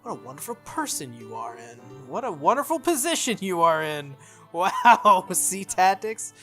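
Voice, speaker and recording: A playthrough host reads a visual novel aloud and drops in casual remarks. The voice sits at a middling pitch, and game music plays clearly behind it. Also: very animated, normal speed, fairly bright tone. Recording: music under the voice.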